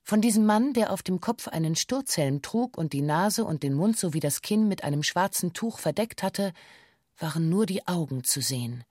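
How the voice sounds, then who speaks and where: A woman's voice: fairly low pitch, lively intonation, normal speed, darkish tone, no echo, studio quality. An actress playing a female bank employee who gives a formal, precise witness statement in a matter-of-fact way for a radio play. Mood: sober, factual. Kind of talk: scripted radio play monologue in stiff police-statement language.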